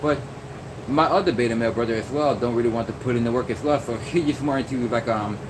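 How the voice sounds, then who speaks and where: A man's voice: mid-range voice, fast. Rambling young man over a humming room. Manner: meandering, many fillers and restarts. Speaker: vlogger. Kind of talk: rambling casual monologue. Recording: noisy background hum.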